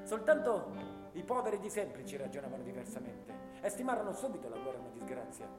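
An actor playing a disillusioned eighteen-year-old volunteer soldier of the First World War in a theatrical, declamatory monologue. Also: male voice, mid-range voice, big pitch swings, fast talker, close to an everyday voice. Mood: wistful recollection. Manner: impassioned, rhythmic, declamatory, piling up lists. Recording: reverberant radio-theatre sound with guitar music.

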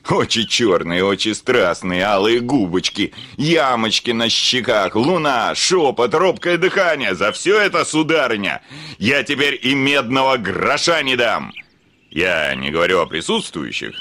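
An actor playing a hot-tempered, blustering landowner scornful of women in a theatrical, ranting classic Russian radio play style. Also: male voice, mid-range voice. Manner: theatrical, ranting, swinging from mutters to shouts. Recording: studio radio play with music behind.

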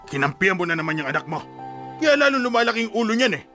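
Radio drama role: stern middle-aged father, furious and scolding, high sharp voice with big swings in pitch. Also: big pitch swings, very fast, faint music behind, heavily acted. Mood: exasperated. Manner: scolding, confrontational, forceful.

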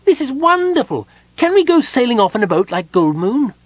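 A man voicing a small, excitable, childlike male kender who chatters and stumbles over his words, in a husky voice. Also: high-pitched, moderate pace, no echo, pitched above the performer's own voice. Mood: excited.

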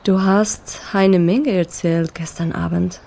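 An actress playing a calm, teasing jazz singer in a naturalistic radio drama. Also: female voice, lowish voice, takes it slowly, gravelly, full character voice. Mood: calm, matter-of-fact.

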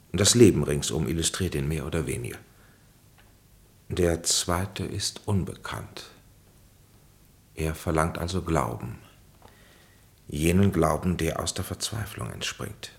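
A grave, fatherly spiritual counselor in a radio drama. His voice sits very low with a gravelly creak, and he phrases things in a measured, oracular way. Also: full character voice. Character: solemn, fatherly male spiritual guide and counselor, Henry. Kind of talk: German radio play acting.

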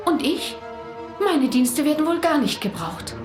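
Proud woman, impulsive, lively intonation, radio play, clear music behind. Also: high voice, a little slow, echoey room. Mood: piqued.

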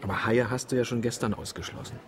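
A man acting a tour boat skipper in a radio play. He is a good-natured, wry sort who shies from conflict. His voice is natural and medium in pitch. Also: fairly even intonation, fast talker, noisy background, natural voice. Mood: subdued, matter-of-fact. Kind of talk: German radio drama acting.

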